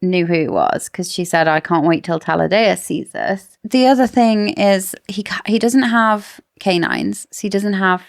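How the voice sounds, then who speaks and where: A woman's voice: mid-range voice, animated, moderate pace, gravelly, few pauses. A woman co-hosting a podcast, chatting casually about books. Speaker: podcast co-host. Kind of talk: casual book discussion chat.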